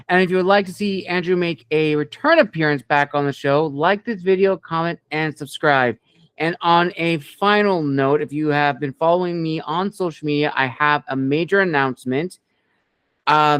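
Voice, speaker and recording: A man speaks as an interview show host in a smooth, clean voice that sits a little high. His phrasing is formal and announcer-like. The sound is dry and free of room echo. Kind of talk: scripted-sounding show hosting.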